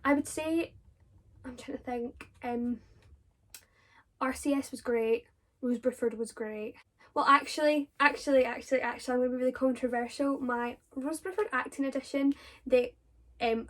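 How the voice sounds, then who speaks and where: A young woman with a Scottish accent, her voice sitting a little high. She talks casually through viewers' questions, rambling with many fillers and restarts. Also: steady tone, moderate pace. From Scotland. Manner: chatty, with frequent fillers and self-corrections. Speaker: drama student vlogger.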